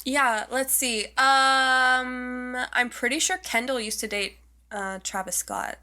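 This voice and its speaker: A young woman co-hosting a podcast in a casual, gossipy chat, animated and slangy, swearing freely. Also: sits high, takes it slowly. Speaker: podcast co-host.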